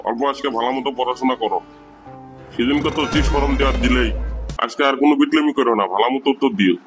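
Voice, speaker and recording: A man's voice: medium pitch, fast, over music, natural voice. A man voicing an exasperated, scolding village teacher. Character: exasperated middle-aged male village teacher.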